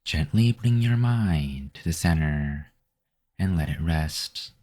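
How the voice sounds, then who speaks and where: A man with a very low voice leads a guided meditation. He speaks very slowly and calmly, reading in a measured, instructive way. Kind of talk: scripted guided meditation narration.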